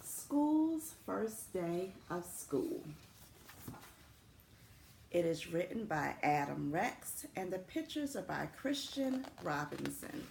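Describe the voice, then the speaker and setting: Woman principal for children's read-aloud: slow, expressive, lively intonation, echoey room with faint noise. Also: lowish voice, gravelly. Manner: deliberate, expressive, speaking simply to young children. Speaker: elementary school principal reading aloud to students. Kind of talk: children's storybook read-aloud with friendly asides. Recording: roomy echo with faint background noise.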